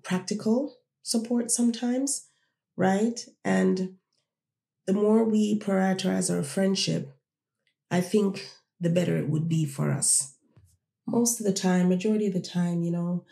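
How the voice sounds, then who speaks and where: Gravelly, mellow-voiced podcast host. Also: medium pitch, echoey room. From born in Jamaica, raised in Toronto. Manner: reflective, conversational, addresses listeners directly. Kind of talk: casual solo podcast talk.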